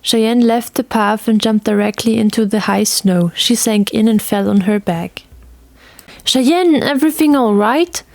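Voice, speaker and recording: A woman's voice: mid-range voice, level tone, normal speed, gravelly, hardly any pauses, darkish tone, no room sound. A woman reading scripted story narration as the narrator of an audio tale.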